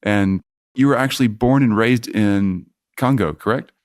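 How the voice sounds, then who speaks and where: A man's voice: fairly low pitch, normal speed, no echo, clean studio sound. A man hosting a podcast, chatting informally as he puts interview questions to a guest. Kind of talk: casual conversational interview.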